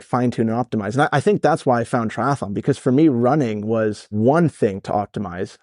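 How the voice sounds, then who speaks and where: Casting note: Dutch-born man, thirties, American accent, dry close mic, chatty and energetic guest. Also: mid-range voice, no echo. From Netherlands, raised in California. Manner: energetic, rambling, swears casually. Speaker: guest, startup founder. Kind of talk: casual podcast interview.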